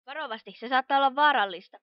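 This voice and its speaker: A woman's voice: high-pitched, no echo, lightly acted. A woman voicing a studious, cautious young pony magician in a cartoon fan dub, explaining things in a precise, earnest way. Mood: cautious, firm. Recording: dull lo-fi home recording.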